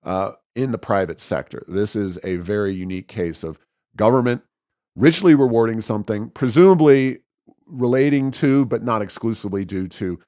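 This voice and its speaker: Low-voiced man giving political commentary, dry sound. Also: moderate pace, mellow, dry recording. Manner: openly critical, opinionated. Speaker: podcast co-host. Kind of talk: conversational political news commentary.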